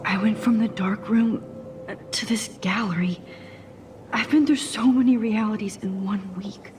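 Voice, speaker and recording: A woman's voice: medium pitch, fairly even intonation, moderate pace, a little mellow, slight background noise, close to an everyday voice. Casting: woman as introspective young photography student, game dialogue. Medium register, hesitant, unshowy, dryly wry. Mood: hushed, musing. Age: young adult. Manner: hesitant, understated. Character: shy, self-doubting, wry young female photography student. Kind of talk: naturalistic video game dialogue.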